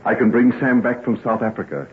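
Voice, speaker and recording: An actor playing a grave, remorseful gentleman doctor, formal and earnest, in an old-time radio drama. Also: mid-range voice, animated, brisk, natural voice. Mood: resolute, reassuring. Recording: tinny, noisy old radio recording.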